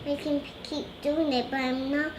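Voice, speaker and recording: A young girl reading a children's storybook aloud, halting and stumbling over words. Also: high voice, flat delivery, background noise. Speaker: young reader learning to read aloud.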